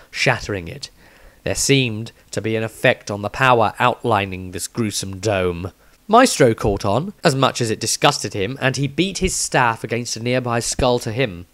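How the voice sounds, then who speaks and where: A man reading a scripted fantasy tale as its narrator, in a dramatic storytelling style. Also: medium pitch. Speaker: author reading his own story.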